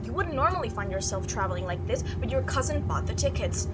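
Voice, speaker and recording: A woman's voice: fairly high pitch, animated, fast talker, loud music behind the voice. A woman reading a visual novel aloud as a gaming host, narrating expressively with casual asides.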